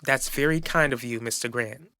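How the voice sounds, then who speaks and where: Man voicing gracious married woman, bright voice. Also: mid-range voice, normal speed, no room sound, clean studio sound. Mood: grateful. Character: courteous, appreciative married woman. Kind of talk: animated soap opera acting.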